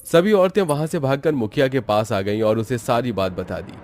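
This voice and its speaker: A man's voice: mid-range voice, normal speed, darkish tone. A man narrating a scripted horror story for a cartoon.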